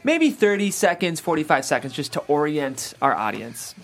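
A man's voice: fairly high pitch, very animated, moderate pace, vocal fry. A man hosting a podcast table read, talking casually and reading out script directions. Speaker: host, reader of stage directions.

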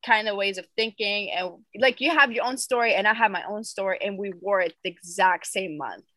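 A woman's voice: mid-range voice, brisk, bright, forceful tone, dry recording. A young woman co-hosting a casual chat, talking with lots of fillers and laughing easily. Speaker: podcast co-host.

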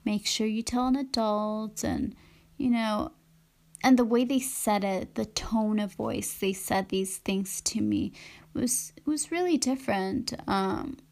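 A woman's voice: medium pitch, takes it slowly, darkish tone. A woman speaking reflectively about her upbringing, hesitant, with many fillers. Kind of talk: reflective personal testimony.

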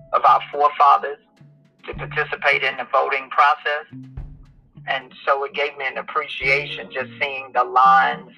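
A man in his fifties giving a measured, reflective account of voting. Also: mid-range voice, creaky, bright, forceful tone, poor audio. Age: 50s. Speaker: voter interviewee. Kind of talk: recorded testimonial.

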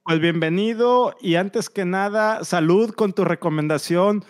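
High-voiced man hosting a live show. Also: unhurried. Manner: chatty, upbeat, frequent fillers. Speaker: host. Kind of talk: live online interview show. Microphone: video call.

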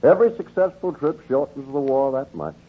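A man's voice: mid-range voice, fairly quick, a little hiss or hum, close to an everyday voice. An actor playing a weary but proud merchant captain and family man in a 1940s radio drama. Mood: tired, proud. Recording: tinny, narrow-band 1940s radio recording.

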